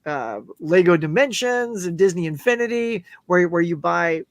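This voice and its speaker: A middle-aged man with a notably high voice tells stories with animated energy, acting out other people's lines as he goes. His voice sounds strained, as if it is giving out. The sound is close and dry, with no room echo. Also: normal speed. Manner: animated storyteller who acts out what others said. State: losing his voice. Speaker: guest YouTuber. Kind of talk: live stream chat.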